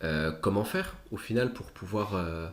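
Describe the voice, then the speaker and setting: A man hosting an interview, summarizing his guest's points in a measured way with some fillers. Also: deep voice, expressive. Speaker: interviewer, host. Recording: echoey room.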